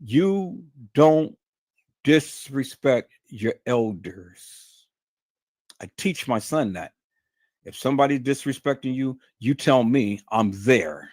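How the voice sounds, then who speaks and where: A man's voice: mid-range voice, expressive, slow, a little mellow, no echo, clean studio sound. A man hosting a livestream, talking in a stern, emphatic, lecturing way to his viewers. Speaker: livestream host. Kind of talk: unscripted livestream monologue.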